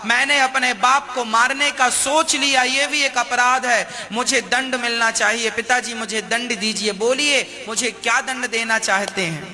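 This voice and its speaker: Guy doing a proud pleading son in a moral tale, high voice, lots of hall echo. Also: fairly even intonation, measured pace, hardly any pauses, sharp tone, exaggerated voice. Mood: repentant. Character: proud learned son, resentful then repentant. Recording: echoey hall, long reverb.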